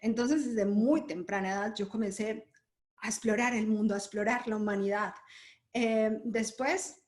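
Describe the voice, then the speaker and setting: A woman from Colombia, a podcast guest, telling her life story in a lively way with frequent laughter. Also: medium pitch, moderate pace. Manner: lively, laughs often while telling stories. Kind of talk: conversational interview storytelling. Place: lives in Germany.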